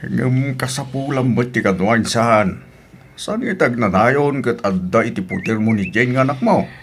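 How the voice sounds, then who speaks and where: A man's voice: mid-range voice, lively intonation, moderate pace, slightly rough. A man with a Filipino accent playing a meddling, advising older kinsman in an audio drama. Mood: coaxing. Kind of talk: Ilocano audio drama acting. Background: music.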